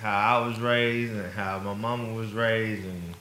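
A man with a low voice and a bright, forceful edge talks bluntly about discipline. The room rings with echo and a faint background ambience. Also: fairly even intonation, measured pace, slight background noise. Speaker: father in a couple interview. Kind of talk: casual talk about discipline.

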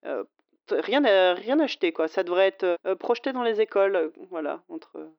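A woman's voice: mid-range voice, lively intonation, brisk, vocal fry, dry recording, lo-fi sound. A woman in casual conversation who talks chattily with frequent hesitations and laughs easily. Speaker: podcast co-host.

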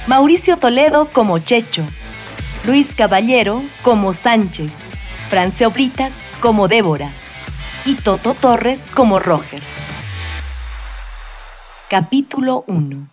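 A woman announces a radio drama from a script. Her voice is on the high side, and she takes her time, measured and formal. Soft music plays behind her. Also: animated. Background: faint music.

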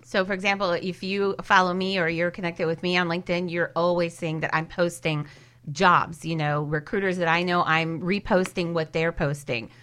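A woman's voice: fairly low pitch, fairly even intonation, moderate pace, a bit bright. A woman giving conversational advice as a guest expert on a talk show. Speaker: guest expert on social media networking.

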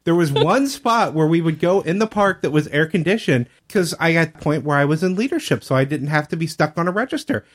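A man with a voice a little higher than average tells anecdotes to friends. His delivery is casual and conversational, like a podcast regular sharing stories. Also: expressive, quick pace, few pauses.

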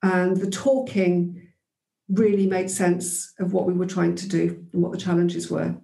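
A woman's voice: lowish voice, steady tone, a little slow, creaky, warm tone. Reflective woman in an echoey room. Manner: thoughtful, frequent ums and restarts. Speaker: interview guest, former geography teacher. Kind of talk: reflective conversational storytelling.

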